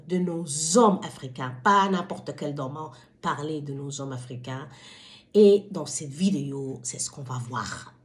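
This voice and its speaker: A woman from Somalia hosting her own wellbeing vlog, speaking to viewers in a hesitant but impassioned, exhorting way. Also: low voice. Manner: hesitant, stumbling over words. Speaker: channel host.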